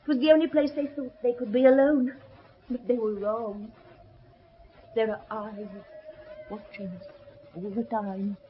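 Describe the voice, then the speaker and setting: An actress playing a haunted, fragile, fearful widowed daughter in a radio horror drama, eerie and dreamy in manner. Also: female voice, a little high, fairly even intonation, measured pace, long pauses, lightly acted. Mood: wistful, haunted. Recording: dull old radio recording, faint noise.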